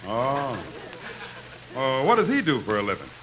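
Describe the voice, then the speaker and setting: A man's voice: medium pitch, very animated, a little slow. An actor playing a helpful, curious friend, an easygoing straight man in 1950s radio comedy. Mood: friendly, curious. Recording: noisy vintage radio recording.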